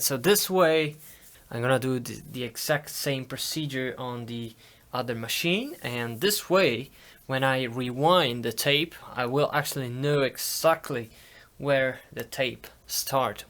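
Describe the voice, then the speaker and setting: A man giving a casual hands-on tutorial, talking in a halting way as he thinks aloud. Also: mid-range voice, fairly bright tone. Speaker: audio hobbyist demonstrating tape machines.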